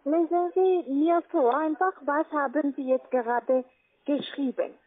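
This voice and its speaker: A woman with a high voice and a foreign accent in German speaks over a tinny phone line. She gives instructions step by step like a call-center agent, insistently checking that each one is followed. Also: steady tone, unhurried. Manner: insistent, repeatedly checking with tag questions.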